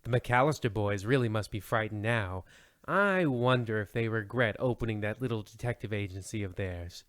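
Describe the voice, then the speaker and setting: A man's voice: on the low side, moderate pace, fairly bright tone, dry recording, clean studio sound, natural voice. A man playing an ominous, melodramatic mystery narrator in the style of 1940s radio, theatrical and foreboding.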